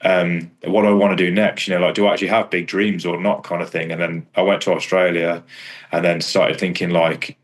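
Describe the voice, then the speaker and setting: A man with a very low, deep voice talks casually in an interview. His delivery is flat and understated, wandering through his thoughts with a self-deprecating modesty. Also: brisk. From England. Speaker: kickboxer and striking coach. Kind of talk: casual interview chat about own career.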